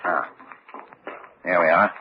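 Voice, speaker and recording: Precise, low-voiced medical examiner. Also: male voice. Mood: conversational, reflective. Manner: clinical, deliberate, pondering. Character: precise, formal male medical examiner in a mystery. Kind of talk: vintage radio mystery acting. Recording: dull, hissy old radio recording.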